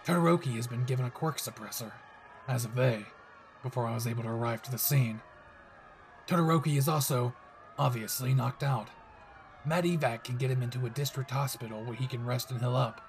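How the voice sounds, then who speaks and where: A man's voice: medium pitch, fairly quick, slight background noise, lightly acted. A man playing a brash, possessive young hero, cocky, swearing and intense, in a dramatic audio roleplay spoken to the listener. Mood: dismissive, smug. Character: brash, possessive young male hero, gruff and foul-mouthed.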